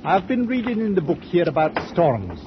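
A noisy, dull 1940s radio recording of an old merchant captain. He is stubborn and literal-minded, speaking tersely and pompously in a fairly high male voice. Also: huge pitch swings, moderate pace. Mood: stubborn, commanding. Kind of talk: 1940s radio drama acting.

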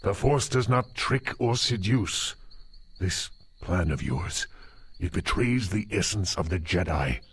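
Aged, wise master for audio drama: deep raspy voice, unhurried, solemn, admonishing. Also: male voice, lively intonation, exaggerated voice. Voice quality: husky, deep. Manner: measured, grave, admonishing. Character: wise, stern old male Jedi master.

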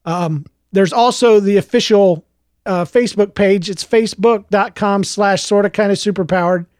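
Gravelly-voiced man reading his own story. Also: sits high, normal speed, darkish tone, no room sound, clean studio sound. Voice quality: a little gravelly. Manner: hesitant, many fillers and restarts in chat. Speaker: podcast host and author. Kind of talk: reading his own book aloud, then casual chat.

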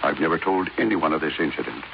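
Very deep, commanding older tycoon. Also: male voice, fast, gravelly. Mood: insistent, defensive. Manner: blunt, imperious, hesitates with "uh". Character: gruff, commanding older male business magnate, guarded. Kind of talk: 1950s radio drama acting. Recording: dull, lightly noisy 1950s radio recording.

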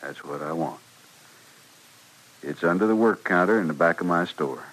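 Dying frontier shopkeeper, western American, weak, plain and resigned, low husky voice, old radio drama sound. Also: male voice, normal speed, fairly bright tone, rough recording. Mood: weak, confessing. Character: bitter, dying frontier storekeeper, a man. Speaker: radio actor. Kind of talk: 1950s radio western acting. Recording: vintage radio recording.

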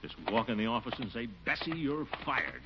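An actor playing a pompous, soft-hearted middle-aged water commissioner who blusters and talks to himself, in 1940s radio sitcom style. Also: male voice, mid-range voice, sing-song, fairly quick, natural voice. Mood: wavering. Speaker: lead character. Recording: dull lo-fi 1940s radio recording with faint hiss.

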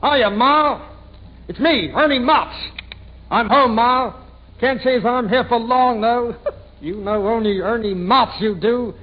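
Male impressionist as Cockney drifter son: very high, breezy, affectionate, restless; dull vintage radio sound. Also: slow, full character voice. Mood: breezy, cheeky. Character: cheeky, restless young Cockney drifter, tender to his mother. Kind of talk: 1940s radio impression of a film actor. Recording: muffled, noisy vintage radio recording.

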